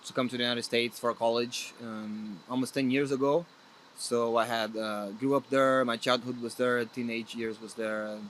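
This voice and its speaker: A man's voice: medium pitch, fairly bright tone, a little hiss or hum. A man from Brazil with a Brazilian accent, a guest player speaking earnestly in a casual interview, often restarting his sentences. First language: Portuguese. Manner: earnest, hesitant with many restarts.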